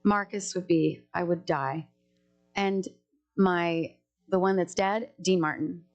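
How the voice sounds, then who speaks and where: A woman with a fairly low voice talks casually as a podcast guest. She is a singer-songwriter who rambles freely, breaks off mid-sentence and starts over. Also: slow, highs cut off. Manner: chatty, jumps between thoughts, many restarts.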